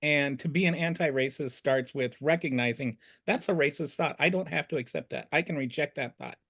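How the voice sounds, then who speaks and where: A man sharing opinions in a casual discussion, haltingly restating his points for emphasis. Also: fairly high pitch, a bit bright, breathy edge. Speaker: discussion participant.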